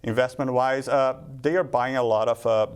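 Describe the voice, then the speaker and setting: A man from Brazil, a native Portuguese speaker, answering questions as a market analyst in a studio interview, with halting restarts. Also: mid-range voice, fairly even intonation, clean tone. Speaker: grain market analyst guest.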